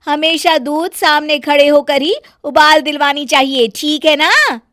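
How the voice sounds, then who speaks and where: Woman voicing a shrill, fussy young daughter-in-law. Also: unhurried, a bit bright, no echo, studio quality. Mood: scolding, fussy. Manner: shrill, scolding, self-important.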